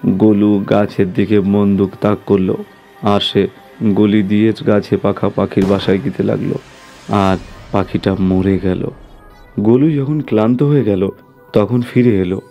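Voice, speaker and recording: A man's voice: fairly low pitch, steady tone, measured pace, mellow, soft background music. A man narrating a scripted horror story as the storyteller.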